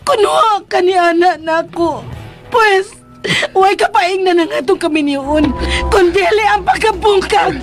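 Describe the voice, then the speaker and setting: Casting: gentle, long-suffering young Filipino wife, radio drama; very high voice, plaintive, slow delivery, music underneath. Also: female voice. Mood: earnest, pleading. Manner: plaintive, earnest, coaxing. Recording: dramatic music bed behind.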